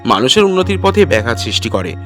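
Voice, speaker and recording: A man's voice: mid-range voice, sing-song, quick pace, fairly bright tone, soft background music, voice pushed down. A man voicing a whimsical, dismissive young man in his late twenties in an audio story. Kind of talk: audio story character dialogue.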